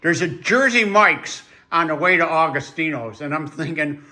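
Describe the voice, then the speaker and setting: A man's voice: on the high side, expressive, normal speed, a crowd in the background. A man hosting a casual chat to camera in a plain-spoken, opinionated way. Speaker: channel host.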